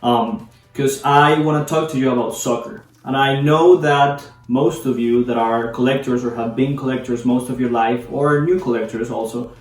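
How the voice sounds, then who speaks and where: A man's voice: medium pitch, steady tone, normal speed. A man from Colombia, a Spanish speaker, hosting a casual explainer video about a sport. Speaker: video host explaining soccer. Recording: echoey room, distant mic, background music.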